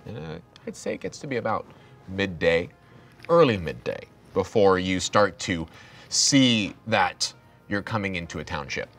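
A man's voice: low-pitched, lively intonation, measured pace, fairly bright tone, faint music behind. A man in his thirties narrating a tabletop game as game master, in a loose, meandering way with fillers, at a table on a studio set.

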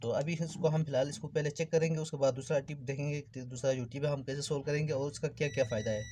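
Man, slightly high voice, informal repair tutorial, explanatory, clear background noise. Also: steady tone, quick pace, warm tone. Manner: explanatory, addresses viewers as friends. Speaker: electrical repair tutorial host. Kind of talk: informal hands-on tutorial narration. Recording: clearly noisy background with clicks.

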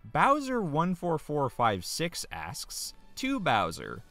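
A man's voice: a little high, huge pitch swings, slow, a bit bright, faint music behind. A man reading viewers' comments aloud as the question reader of a show.

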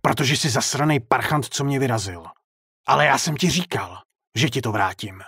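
A man voicing a vengeful, mocking ghostly circus clown with a raspy voice in an audiobook story. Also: a little high, big pitch swings, fairly bright tone, no echo, clean studio sound, voice pushed up, full character voice. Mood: angry. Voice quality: raspy, throaty laugh. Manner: mocking, sing-song scolding.

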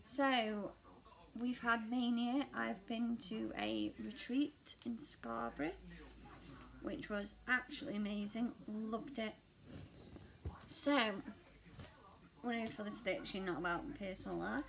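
Woman in her thirties, a touch hoarse, rambling and self-deprecating, relaxed slow delivery. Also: a little high, a little hiss or hum, poor audio. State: slightly croaky voice. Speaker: cross-stitch vlogger. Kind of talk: casual chat showing stitching projects. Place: living room at home, seated on a sofa.

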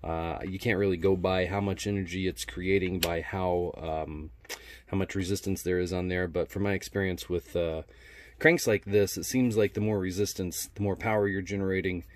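A man's voice: low-pitched, steady tone, normal speed, no room sound. A man doing a casual, laid-back unboxing narration as a product reviewer, speaking in colloquial language. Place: indoors at a wooden workbench.

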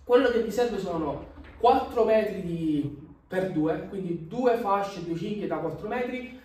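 A man's voice: high-pitched, animated, unhurried, darkish tone. A young man casually explaining his home gym gear, talking toward a camera across a large indoor gym. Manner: chatty, explains while pointing at gear. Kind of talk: casual how-to vlog. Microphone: camera across the room. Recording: very echoey room from far off.

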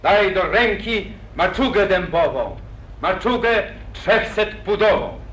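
An actor playing a boastful young hero of an old epic, declaiming verse with pathos in 1940s radio acting. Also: male voice, sits high, lively intonation, slow, a bit bright, full character voice. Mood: commanding. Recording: echoey old radio recording.

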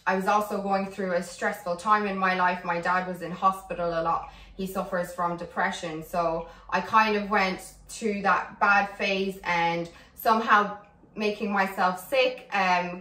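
A woman's voice: medium pitch, bright, forceful tone. Candid young woman talking to camera. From Slovakia, raised in Ireland. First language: Slovak. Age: 20s. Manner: earnest, candid, reflective. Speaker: fitness coach. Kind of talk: personal vlog to camera. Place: bright indoor room at home. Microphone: camera mic from a few feet away. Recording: roomy, a bit distant.

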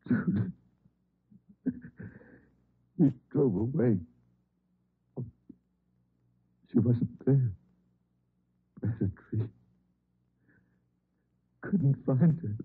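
An actor playing a distraught, broken father in an old radio crime drama, speaking haltingly and repeating himself as if near collapse. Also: medium pitch, expressive, husky, no room sound, exaggerated voice. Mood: breaking down. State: grief-stricken, near collapse. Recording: muffled, tinny old radio recording.

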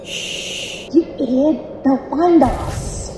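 A frightened, bossy young girl played by a woman in an audio drama. The voice is fairly high, in a long echoey reverb, with noise behind it. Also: extremely slow. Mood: urgent, scolding.

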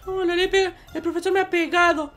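A woman playing an excitable, whiny little boy in an improvised comic gaming roleplay. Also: extremely high pitch, quick pace. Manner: exclamatory, whiny, repetitive. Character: bratty, excitable little baby boy schoolkid. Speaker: channel character player in Minecraft roleplay. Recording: music behind the voice.

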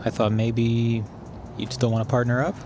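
A man's voice: medium pitch, level tone, normal speed, heavy background noise, lightly acted. An actor playing a weary, wry man bent on revenge in an audio drama, with a dry, understated delivery. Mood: determined. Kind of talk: naturalistic audio drama acting.

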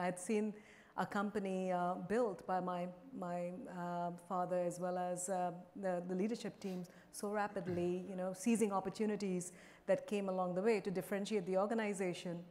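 A woman's voice: medium pitch, darkish tone. Middle-aged woman from India, executive panelist, lapel mic on a big stage, long hall reverb. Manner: measured, with frequent fillers and restarts. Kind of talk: conference panel talk. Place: large conference stage.

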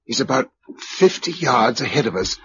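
An actor playing a resolute, urgent British survivor leading others in a radio drama. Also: male voice, mid-range voice, animated, normal speed, a bit bright, close to an everyday voice. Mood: tense, watchful. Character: sighted male survivor leading blind people, resolute, urgent. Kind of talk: British radio drama acting with first-person narration. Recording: dull, noisy old radio broadcast recording.

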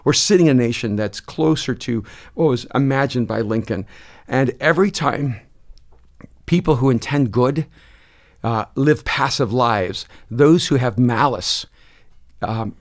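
A man in his sixties, born in El Salvador, talks expansively into a close studio mic in a dark podcast studio. His mid-pitched voice races ahead in places, then slows down to land a point. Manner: expansive, gestures a lot, quick bursts then slow emphasis. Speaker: pastor and author, podcast guest. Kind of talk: reflective conversational storytelling. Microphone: studio mic on a boom, close.

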